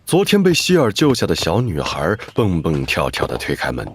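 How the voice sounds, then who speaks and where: Casting: male narrator, low voice with lively swings, dramatic storytelling. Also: moderate pace, breathy edge.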